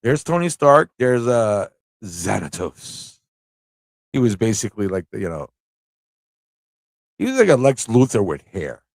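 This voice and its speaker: A man's voice: lowish voice, very animated, measured pace, pauses often, no echo. Casual, off-the-cuff male livestream host, dry sound. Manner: informal, off-the-cuff, thinks aloud, repeats phrases. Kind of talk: casual rambling livestream chat and commentary.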